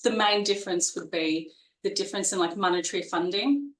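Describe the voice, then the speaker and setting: A woman in her forties with a broad Australian accent talks through her career in an easy, rambling way. She fills her pauses and restarts her sentences often, and her voice carries a noticeable room echo. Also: lowish voice, steady tone, a bit bright. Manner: chatty, with frequent fillers and restarts. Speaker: guest perioperative nurse. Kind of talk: casual interview conversation.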